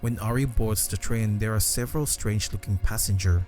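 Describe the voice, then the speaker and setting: Dude doing scripted essay narration, soft dark tone, kinda low, bit of music behind. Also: male voice, brisk.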